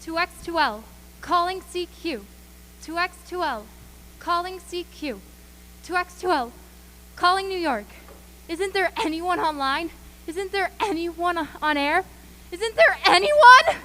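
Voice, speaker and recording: A woman's voice: very high voice, lively intonation, unhurried, pushed and bright, slight background noise, heavily acted. A woman playing a desperate lone radio operator in a radio play, calling out repeatedly. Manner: repetitive calling, increasingly desperate.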